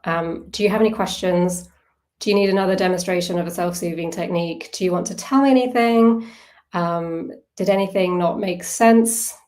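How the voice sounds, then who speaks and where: Lower-voiced woman leading an informal workshop. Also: darkish tone. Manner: conversational, thinking aloud, questioning. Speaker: workshop facilitator on self-soothing. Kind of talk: informal online talk with audience questions.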